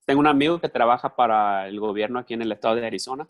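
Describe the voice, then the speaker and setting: A man interprets a speaker's talk live, phrase by phrase. He stays loose and conversational, repeating words and restarting as he goes. His voice sits at a middle pitch. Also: rapid-fire.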